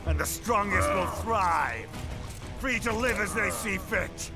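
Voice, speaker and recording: An actor playing a brawny middle-aged senator villain who delivers a bombastic, profane speech. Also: male voice, sits high, slow pace. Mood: ranting. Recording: game audio with loud music behind.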